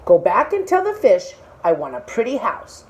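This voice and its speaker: A woman's voice: medium pitch, wildly swooping pitch, normal speed. A woman voicing a greedy, never satisfied fisherman's wife in a storybook, giving insistent, imperious orders. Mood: bossy.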